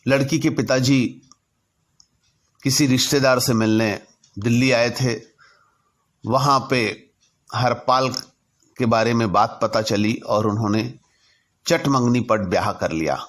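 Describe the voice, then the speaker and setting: A man narrating a personal story in a deliberate way, in short halting phrases. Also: mid-range voice. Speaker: narrator telling his own anecdotes.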